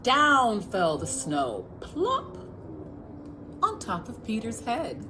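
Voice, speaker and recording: A woman's voice: on the high side, wildly swooping pitch, slow, background noise. An American woman reading a picture book aloud as a children's librarian, expressively, speaking directly to young listeners.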